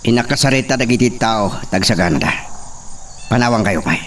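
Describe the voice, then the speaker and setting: A man's voice: on the low side, lively intonation, a little fast, a bit bright. Ilocano man acting a melodrama role, distant mic. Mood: cold, scheming. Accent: Filipino, Ilocano. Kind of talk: Ilocano radio-style drama acting. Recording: distant mic, faint background noise with insects.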